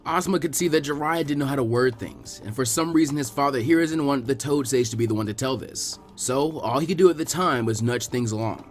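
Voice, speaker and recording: A man narrating a fan fiction story as an expressive scripted reading. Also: medium pitch, brisk, few pauses, soft background music.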